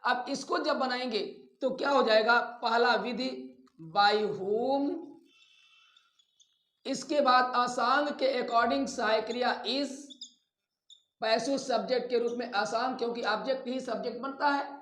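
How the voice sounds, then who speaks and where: A man teaching a grammar lesson step by step, deliberate and instructive, as if dictating piece by piece. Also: extremely high pitch, steady tone, fairly bright tone. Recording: echoey, roomy sound.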